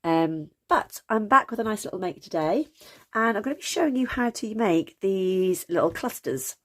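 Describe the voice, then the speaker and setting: A woman with a slightly croaky voice, chatting casually through a craft tutorial with lots of ums and self-corrections. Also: medium pitch, animated, normal speed. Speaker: crafting channel host.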